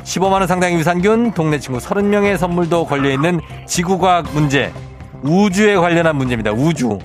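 A man's voice: a little high, animated, quick pace, soft background music. A male radio DJ hosting a quiz segment in a playful, teasing way. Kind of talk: casual radio show hosting. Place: radio studio. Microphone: studio microphone.

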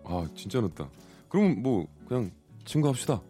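A man's voice: mid-range voice, very animated, normal speed, mellow, faint music behind, close to an everyday voice. A man playing an earnest, forward suitor of thirty-one in a radio story reenactment. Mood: breezy. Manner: casual, pushy, earnest. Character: forward, earnest 31-year-old man courting a woman.

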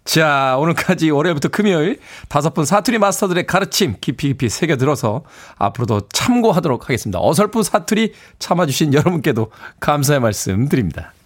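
Animated, high-pitched male radio host. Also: brisk, few pauses. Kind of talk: lively radio presenting and phone-in chat.

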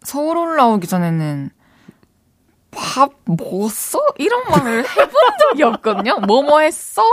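A woman's voice: high-pitched, big pitch swings, moderate pace, dry recording, clean studio sound. A young woman from the Gyeongsang region with a Korean Gyeongsang accent, co-hosting a casual chat, playful and teasing, laughing often. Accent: Gyeongsang dialect of Korean. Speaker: radio co-host.

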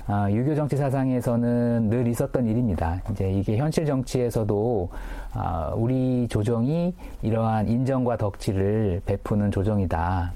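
A man's voice: on the low side, mellow. A middle-aged man explaining history as a researcher, thoughtful with fillers. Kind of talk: interview explanation.

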